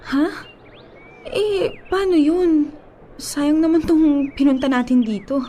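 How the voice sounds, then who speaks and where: A woman's voice: high-pitched, slow, warm tone, a little hiss or hum. An actress playing a stubborn, lovesick young woman in a Filipino radio drama, speaking in an emotive, pleading way. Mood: hopeful.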